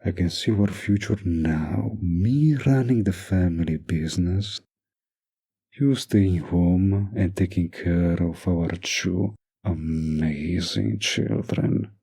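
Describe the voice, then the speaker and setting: A male actor plays a mafia boss's heir and devoted boyfriend in an intimate audio roleplay. His voice is very low and dark, with a heavy gravelly rasp. He speaks slowly and tenderly, sure of himself and possessive of his sweetheart. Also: exaggerated voice. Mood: dreamy, tender. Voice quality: low gravelly, breathy murmur. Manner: slow, tender, possessive sweet talk with pet names. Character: doting, dominant young mafia heir boyfriend. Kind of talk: intimate roleplay audio drama, scripted monologue.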